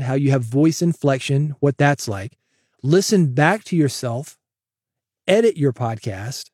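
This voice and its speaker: A man hosting a solo podcast, coaching listeners in an energetic, direct way on a broadcast mic. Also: fairly high pitch, no echo, clean studio sound. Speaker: podcast host. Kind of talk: solo podcast advice with sponsor read. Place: home studio, processed dry voice. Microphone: studio broadcast dynamic mic.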